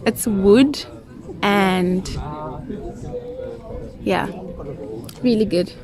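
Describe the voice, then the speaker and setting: A woman's voice: medium pitch, extremely slow, crowd noise. A woman chatting casually and enthusiastically to viewers as she narrates a travel vlog. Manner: enthusiastic, admiring. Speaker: travel vlogger. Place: outdoors in a village. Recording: music behind the voice. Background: music.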